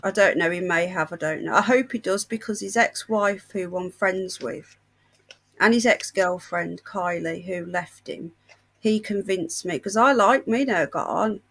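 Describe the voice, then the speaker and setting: A woman's voice: mid-range voice, measured pace, fairly bright tone. A woman hosting a casual livestream, unwell with a painful chest, chatting in a gossipy, indignant storytelling manner. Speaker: livestream host.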